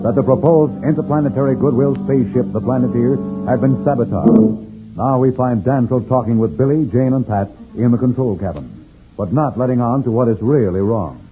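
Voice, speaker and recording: A man's voice: medium pitch, fairly quick. A man narrating a vintage radio serial as its announcer, in a dramatic, scripted style. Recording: tinny old radio recording. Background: music.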